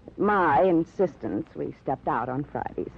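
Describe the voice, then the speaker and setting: An actress playing a chatty, genteel, self-absorbed newly widowed housewife, fluttery and rambling, in a 1950s radio drama style. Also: female voice, low-pitched, expressive, measured pace, creaky, slightly rough, full character voice. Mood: wistful. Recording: tinny, noisy old radio recording.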